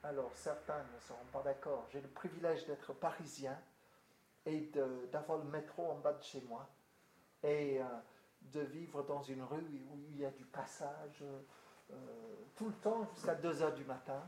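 A man's voice: on the high side, unhurried, darkish tone. An older man from Britain with a British accent, a pastor speaking casually to a group in French, hesitant with many fillers and restarts. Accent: British English. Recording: echoey room with faint noise.

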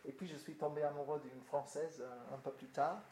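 Older British man, halting and echoey. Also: on the high side, steady tone, dark tone. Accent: British English. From Britain. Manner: hesitant, many fillers and restarts. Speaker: pastor. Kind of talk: casual address to a group. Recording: echoey room with faint noise.

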